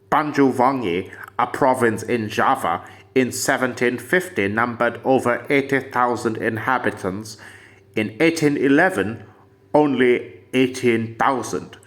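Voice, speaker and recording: A man reading a quoted passage aloud as a voice-over, measured and deliberate. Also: medium pitch, fairly bright tone. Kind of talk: slow read-aloud voice-over.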